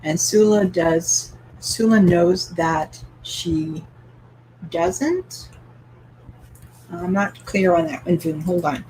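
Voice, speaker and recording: A woman's voice: lowish voice, slow pace, long pauses, dark tone, slight background noise, rough recording. A woman from Canada hosting a live-streamed book club, asking open questions and reading viewer comments aloud with light laughter.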